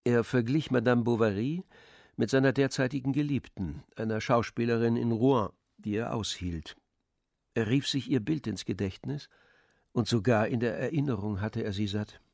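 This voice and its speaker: A man's voice: medium pitch, moderate pace, creaky, darkish tone, no echo. Male radio-play narrator, dry studio sound.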